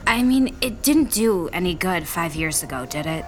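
Woman acting a low-voiced, weary young wife. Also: lively intonation, normal speed, close to an everyday voice. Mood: resigned. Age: young adult. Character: tired, disillusioned young wife. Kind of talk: radio drama dialogue.

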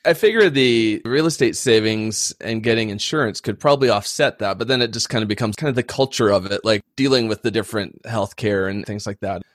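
A man's voice: lowish voice, fairly quick, few pauses, no room sound, studio quality. A man from Canada, a finance blogger, talking in an easygoing, self-deprecating way in a casual panel discussion. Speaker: personal finance blogger and podcast guest.

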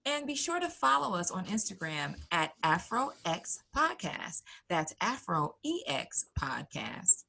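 A woman's voice: lowish voice, sing-song, measured pace, creaky, few pauses, bright, forceful tone, poor audio. A woman co-hosting a podcast in a chatty, presenting style. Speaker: podcast co-host.

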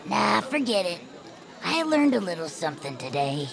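A woman's voice: lowish voice, big pitch swings, moderate pace, vocal fry, a faint crowd, poor audio. A woman voicing an eager young bird-like female fan in an audio drama, earnest and tangled in her own explanations. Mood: upbeat.

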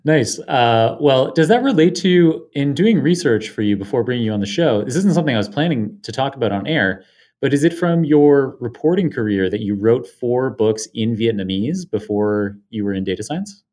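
A man's voice: mid-range voice, expressive, moderate pace, a little mellow. A man hosting a tech podcast, reading an enthusiastic scripted introduction and then chatting casually with his guest. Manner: enthusiastic and effusive. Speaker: podcast host. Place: home studio, guest on a remote call.

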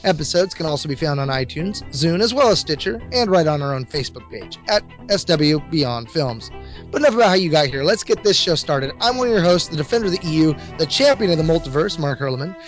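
Hoarse, higher-pitched male podcast host. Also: expressive, fast. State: hoarse, scratchy voice. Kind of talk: scripted podcast introduction. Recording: dull lo-fi audio with music behind.

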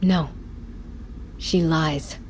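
An actress playing a guarded, suspicious survivor in an audio fiction drama. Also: female voice, sits low, fairly even intonation, drawn-out words, darkish tone, slight background noise, poor audio.

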